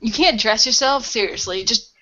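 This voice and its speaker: A woman's voice: fairly high pitch, moderate pace, sharp tone, dull audio, slight background noise. A woman with a sarcastic, snarky way of talking, bantering casually as a player on a role-play show.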